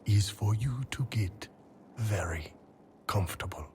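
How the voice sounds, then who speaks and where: A man voicing a big, confident mercenary warrior in a video game, husky, blunt and casual. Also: lowish voice, expressive, unhurried, a little hiss or hum. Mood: frank, intimate. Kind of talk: video game dialogue acting.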